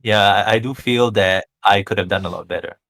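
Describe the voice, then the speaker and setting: A man's voice: lowish voice, a little fast, a bit bright, no echo. A Singaporean man in a casual podcast conversation, speaking hesitantly and modestly about himself. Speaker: podcast guest or co-host. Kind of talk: casual chat.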